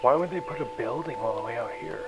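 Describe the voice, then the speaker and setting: A man acts a frustrated mechanic in a sci-fi audio drama. He has a middle-pitched voice and a casual, argumentative, naturalistic way of talking. Loud music plays behind him. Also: expressive, fast talker, lightly acted, rough recording. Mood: hushed curiosity. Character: frustrated young-ish male mechanic hurt by estranged best friend.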